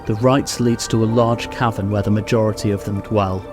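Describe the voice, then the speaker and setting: A man's voice: lowish voice, steady tone, normal speed, lightly acted. A man playing a seasoned, commanding knight with a wry, authoritative manner in a radio play. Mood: grim, commanding. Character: seasoned, dry, commanding male knight and mentor. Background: music.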